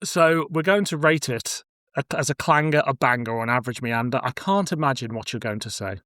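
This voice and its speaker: A man's voice: mid-range voice, lively intonation, fairly quick, pushed and bright, no room sound. Man hosting a podcast, dry close sound. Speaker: podcast host. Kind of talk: casual review podcast chat with some scripted introduction.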